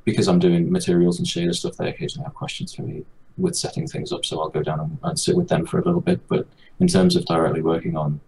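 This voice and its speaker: A man's voice: sits low, expressive, quick pace, vocal fry, few pauses, a little mellow, slight background noise, poor audio. A man speaking as a guest in a casual chat, thoughtful and hesitant, with many fillers. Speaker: guest game artist.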